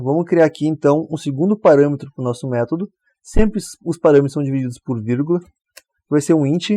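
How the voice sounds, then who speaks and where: A man explains code in a relaxed, mellow voice. He walks through each step and keeps checking in with the listener. The sound is dry and close, with no room around it. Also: fairly high pitch, dry recording. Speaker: programming tutor. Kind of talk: screen-recorded coding tutorial.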